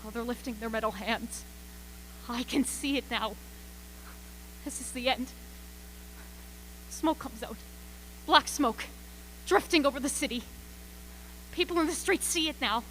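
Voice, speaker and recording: A woman playing a frightened radio reporter describing an alien invasion in a radio play, halting and repeating words. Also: fairly high pitch, fairly bright tone, slight background noise, poor audio. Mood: rising panic. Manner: breathless, halting, repeats words under rising panic. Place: school auxiliary gym stage.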